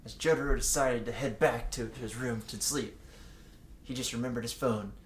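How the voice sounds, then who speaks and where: A man's voice: medium pitch, fairly even intonation, moderate pace. A man giving a casual read-aloud of fan fiction, slipping in joking asides. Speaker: co-reader reading fan fiction aloud with commentary. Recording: echoey room with faint background noise.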